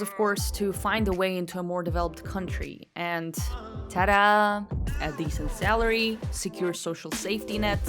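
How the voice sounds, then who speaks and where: A young woman from Egypt with a fairly low voice, narrating in a dry, sardonic, self-mocking tone. Music plays under her scripted voice-over. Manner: sardonic, self-deprecating, deadpan. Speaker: vlogger.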